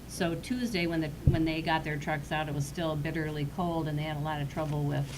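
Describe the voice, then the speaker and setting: A woman administrator gives a matter-of-fact update at a public meeting. Her voice is low and even, with little rise and fall. Noticeable noise sits behind her. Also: a little fast. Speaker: village administrator. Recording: noisy meeting room audio.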